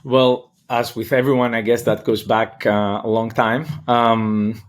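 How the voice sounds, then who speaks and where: Male academic interviewee, Greek accent, medium pitch, measured and thoughtful, often pausing mid-phrase. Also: level tone. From Greece. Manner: hesitant, halting, searching for words. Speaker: interview guest, political science professor.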